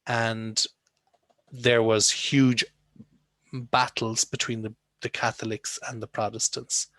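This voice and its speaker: A man's voice: mid-range voice, flat delivery, takes it slowly, fairly bright tone, no echo. An Irish man teaching English in a chatty, joking way, wandering into asides during an online class. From Ireland. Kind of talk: casual online class lecture. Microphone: video call.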